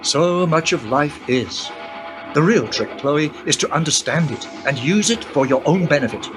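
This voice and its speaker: A man's voice: on the high side, animated, normal speed, over music, lightly acted. An actor playing a knowing guide who patiently explains money to a young woman, in a radio play. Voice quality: patient, lecturing. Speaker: guide character. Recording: background noise.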